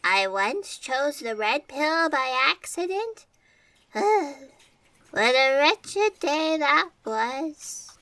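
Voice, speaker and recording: An actress playing an excitable, naive female fact checker in a comedic audio drama, melodramatic in manner. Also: high-pitched, very slow, no echo, recorded from a distance, full character voice. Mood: earnest. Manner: melodramatic, sighing and gasping.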